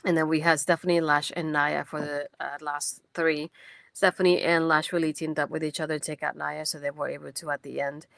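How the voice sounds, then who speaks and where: A woman's voice: sits low, flat delivery, brisk, creaky, a bit bright, dry recording, studio quality. A young woman, not a native English speaker, hosting a casual post-show recap in a chatty, enthusiastic way with many fillers. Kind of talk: casual wrestling event recap.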